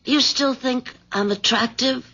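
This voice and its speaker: Seductive, scheming American femme fatale. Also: female voice, fairly high pitch, measured pace, sharp tone, full character voice. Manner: coaxing, commanding, drawling for emphasis. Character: seductive, scheming, bold office mistress urging crime. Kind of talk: 1970s American radio drama acting. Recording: dull vintage radio broadcast recording.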